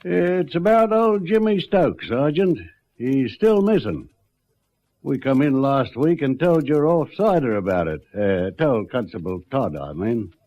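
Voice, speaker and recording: A man's voice: mid-range voice, sing-song, a little slow. A man playing a worried, stubborn country bushman father with a rural Australian accent, drawling and ungrammatical, in a radio drama. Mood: worried, insistent. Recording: muffled old radio recording.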